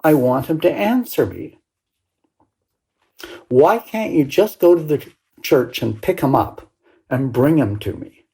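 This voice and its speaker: A woman's voice: sits low, expressive, a little slow, long pauses, warm tone. An older woman voicing a grieving young grandson in a story, pleading insistently with his grandmother. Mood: anguished, insistent.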